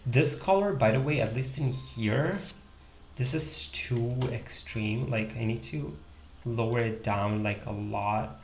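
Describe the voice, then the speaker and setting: A man's voice: mid-range voice, slow pace, slight background noise. A young adult man from Italy with an Italian accent, casually chatting to viewers on a live stream while he draws, thinking aloud with many fillers. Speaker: livestream host. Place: small room indoors. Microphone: headset. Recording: echoey room with faint music.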